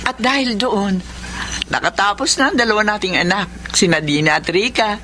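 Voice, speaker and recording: A woman's voice: medium pitch, moderate pace, sharp tone, slight background noise, natural voice. A woman playing a humble, devout mother from a poor family in a radio drama. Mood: grateful. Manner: humble, polite. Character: poor devout mother, later a business owner.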